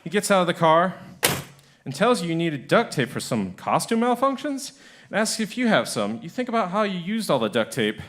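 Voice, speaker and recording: A man's voice: sits high, very animated, moderate pace, fairly bright tone, a little hiss or hum. A man narrating a comic radio drama in the second person, playful and wry.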